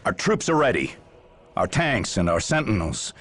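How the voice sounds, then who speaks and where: Stern, dramatic general, swooping delivery. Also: male voice, sits low, very animated, normal speed, a bit bright, exaggerated voice. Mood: grave, resolute. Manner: grandiose oratory mixed with clipped questions. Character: stern, weary Imperial Guard general, zealous and commanding. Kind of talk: dramatic video game cutscene acting.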